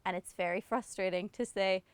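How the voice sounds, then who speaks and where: A woman's voice: medium pitch, fairly even intonation, moderate pace, clean tone, no room sound. A young woman from Ireland with an Irish accent, chatting casually and self-mockingly to camera as she answers questions about herself. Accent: Northern Irish. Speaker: singer answering autocomplete questions.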